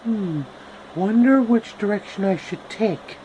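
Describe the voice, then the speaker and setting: A naive, curious young girl voiced by a man, pitched high for a storybook tale. There is faint room noise behind the voice. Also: slow, dark tone, rough recording. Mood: puzzled. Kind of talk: amateur storybook character acting.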